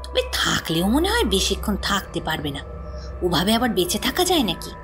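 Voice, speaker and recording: A woman's voice: mid-range voice, very animated, a little fast, music in the background, close to an everyday voice. An actress playing a brusque, coarse, callous female servant in an audio horror story, urgent and blunt. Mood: grimly blunt.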